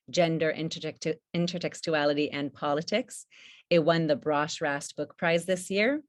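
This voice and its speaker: Woman, very low pitch, dry close sound, panel co-host, halting searching delivery. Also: dry recording. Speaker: artist co-hosting a panel event. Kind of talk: introducing speakers at a scholarly panel.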